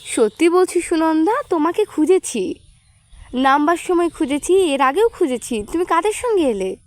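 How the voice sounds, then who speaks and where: Woman reading as a high-voiced, earnest young male student. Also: expressive, normal speed, no echo. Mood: earnest pleading. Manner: earnest, insistent. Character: eager, proud, lovelorn young male student.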